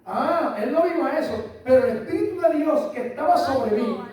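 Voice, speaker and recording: A man's voice: extremely high pitch, expressive, a little fast, a little mellow. An older man with a Puerto Rican accent preaching a sermon as pastor, emphatic and repeating his phrases. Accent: Puerto Rican Spanish. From Puerto Rico. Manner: emphatic, repeats phrases, asks listeners "¿entiendes?". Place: church hall. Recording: echoey hall, voice from far off, faint room noise.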